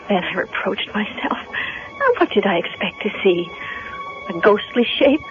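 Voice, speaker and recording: An actress playing an earnest, grieving young heroine in a 1970s radio drama. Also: female voice, medium pitch, natural voice. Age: young adult. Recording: muffled, noisy vintage radio recording.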